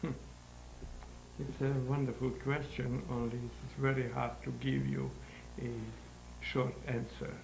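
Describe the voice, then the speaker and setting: A man in his nineties with a Hungarian accent, giving halting, deliberate interview answers about his own life in non-native English. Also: medium pitch, flat delivery, gravelly, a little mellow. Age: 90s. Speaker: interview guest, sculptor. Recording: roomy echo, faint background noise.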